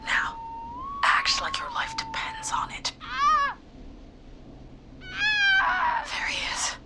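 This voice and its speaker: A woman's voice: very high-pitched, very slow, full character voice. An actress playing a desperate young woman leading a getaway plan in a video game, with noise behind her voice. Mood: urgent commanding.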